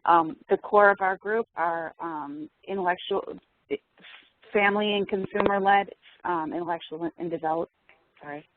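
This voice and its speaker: A woman representing an advocacy coalition, giving a measured overview with "um" fillers. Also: fairly low pitch. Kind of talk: prepared overview on a webinar. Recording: tinny phone line.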